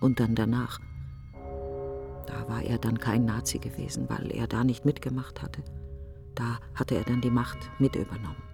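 A woman's voice: a bass voice, level tone, moderate pace, creaky, music in the background. An actress playing a grieving, self-accusing woman who broods in fragmented questions in a literary radio play monologue. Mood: bitter, accusing. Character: grieving, self-accusing woman mourning her best friend.

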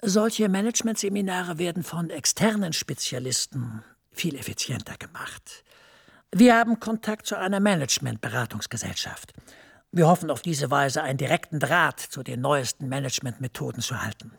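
Senior EU director, gravelly, husky, lively pitch, measured persuasive tone. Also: male voice, full character voice. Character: smooth senior EU director, middle-aged man, persuasive. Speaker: EU official.

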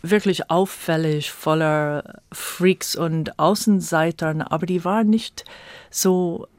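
A woman's voice: fairly low pitch, fairly even intonation, slow pace, no room sound. A middle-aged woman from the United States, a native English speaker with an American accent, reminiscing conversationally as an interview guest. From Virginia, United States.

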